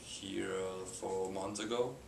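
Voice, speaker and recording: Low, gravelly Spanish man, halting. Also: steady tone. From Spain. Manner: halting, many filler "uh"s and restarts. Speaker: company president, interview guest. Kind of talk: hesitant unscripted interview answers. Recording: echoey room with background hiss.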